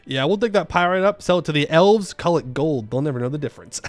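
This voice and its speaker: A man hosts a gaming livestream in a fairly high voice that moves up and down with great animation. He jokes casually, repeats himself for effect and replies to viewers as he goes. Faint music plays behind him. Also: no echo. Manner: playful, joking, repeats phrases. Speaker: game streamer. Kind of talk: casual livestream chat, reading and answering viewer comments.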